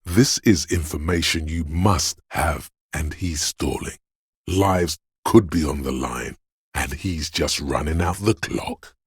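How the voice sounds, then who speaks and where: Super deep husky paranoid inner voice for a video game, slow n ominous, like raw fear and menace talking. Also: male voice, dry recording, clean studio sound, exaggerated voice. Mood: aggressive, paranoid. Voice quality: gravelly, husky, breathy. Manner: slow, ominous, intense delivery. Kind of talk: dramatic video game voice acting.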